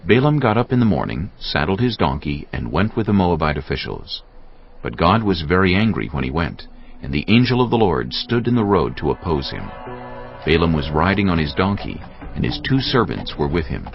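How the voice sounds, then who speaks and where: Extremely deep, gravelly male Bible narrator. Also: sing-song, moderate pace. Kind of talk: scripted dramatized Bible narration. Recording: slightly dull audio over soft music.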